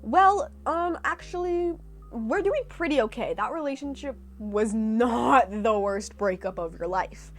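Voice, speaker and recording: A young woman from California telling stories in a chatty, animated way with sarcastic asides, reacting to her old posts. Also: on the high side, unhurried, soft background music. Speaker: vlogger. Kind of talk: casual reaction vlog storytelling.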